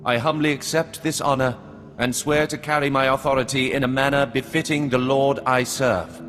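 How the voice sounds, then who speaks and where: A man playing a formal, polished, calculating baron in a video game cutscene, speaking in a measured way. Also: mid-range voice, fairly even intonation, fairly bright tone, natural voice. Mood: formal, businesslike. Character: courteous, composed male nobleman baron, formal and calculating. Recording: long reverb, music behind.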